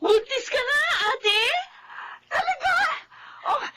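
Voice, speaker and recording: Fussing, loving Filipina mom, middle-aged, radio serial; high pitch, very lively delivery. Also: female voice, slow pace, exaggerated voice. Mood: excited. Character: excitable, caring middle-aged mother of grown daughters. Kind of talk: Filipino radio drama acting. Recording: clearly noisy radio drama audio.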